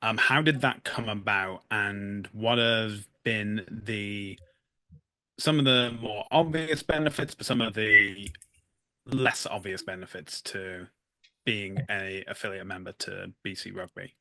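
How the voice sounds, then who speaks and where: A man's voice: lowish voice, fairly even intonation, takes it slowly, pushed and bright, no echo, poor audio. A man hosting a casual podcast interview, not from Canada, affirming and keen as he asks questions. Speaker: podcast host.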